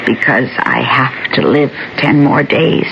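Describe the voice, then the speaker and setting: A woman playing a frail, devoted elderly wife in a 1970s radio drama, gentle and faltering yet hopeful and determined. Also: very deep voice, steady tone, slow pace, vocal fry, exaggerated voice. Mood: fond, hopeful. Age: older. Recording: muffled old radio broadcast, faint hiss.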